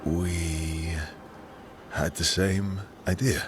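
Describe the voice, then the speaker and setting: An actor playing a calm, supportive, gently wry male hero in video game dialogue, giving short, unhurried replies. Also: sits low. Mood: tender. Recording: music under dialogue.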